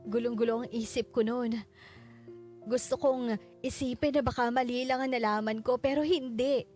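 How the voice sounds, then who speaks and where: A grieving widow acted by a woman tells her story in a radio drama. Her voice sits fairly high and carries hurt and resolve in a solemn, deliberate delivery, with music behind it. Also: steady tone, darkish tone, natural voice. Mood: sorrowful. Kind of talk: scripted radio drama narration. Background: background music.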